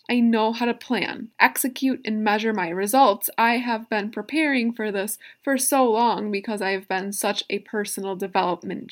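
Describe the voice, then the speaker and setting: A woman in her mid-thirties hosting a solo motivational podcast, telling her own story in an earnest, personal way with emphatic asides. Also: on the high side. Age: 30s. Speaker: podcast host.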